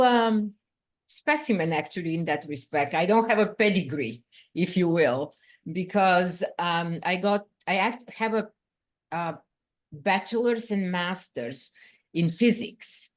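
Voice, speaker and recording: A woman from Bulgaria answering interview questions as a scientist, talking in a lively way with frequent "um" fillers. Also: medium pitch, a little slow. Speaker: guest scientist.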